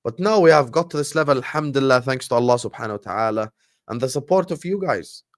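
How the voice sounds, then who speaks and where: A man from Jordan with an Arabic accent, a native Arabic speaker hosting a livestream watchalong in casual, animated chat, giving shout-outs to his viewers. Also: mid-range voice, fast. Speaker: livestream host.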